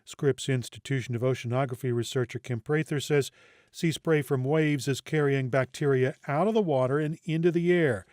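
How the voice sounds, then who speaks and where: A man delivering a scripted television news report in an even broadcast manner. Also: medium pitch, moderate pace, no echo, clean studio sound. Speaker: news anchor.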